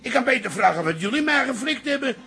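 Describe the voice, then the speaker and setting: An indignant, excitable man in a Dutch radio drama. His voice is high, sharp and gravelly, with a Netherlands accent. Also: fast talker, heavily acted. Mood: agitated, indignant. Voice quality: gravelly, forceful.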